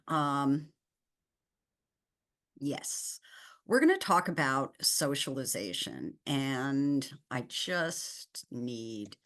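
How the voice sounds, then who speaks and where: A low-voiced woman delivers a webinar lecture over a video call, her voice a little hoarse from a cold. She talks in an animated, explanatory way, often repeating words for emphasis. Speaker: veterinary behavior professor, guest speaker. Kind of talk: lecture-style webinar presentation.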